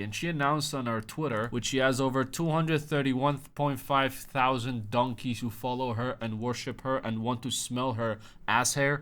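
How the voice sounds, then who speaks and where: A young man gives comedic commentary, mocking his subject with sudden loud outbursts. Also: medium pitch. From Iran. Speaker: commentary host.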